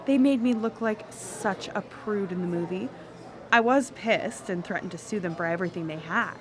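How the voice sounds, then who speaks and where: A woman's voice: mid-range voice, normal speed, close to an everyday voice. An actress in a radio drama playing a blunt, seductive, worldly woman on a date. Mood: brash, wry. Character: brash, seductive woman, worldly former Vegas dancer on a date.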